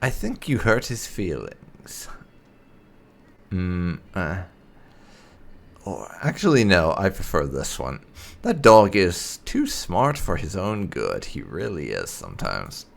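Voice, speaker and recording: A man's voice: low voice, huge pitch swings, takes it slowly, vocal fry. A man hosting a gaming video, playfully improvising replies to game characters as light roleplay commentary. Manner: playful, chuckly.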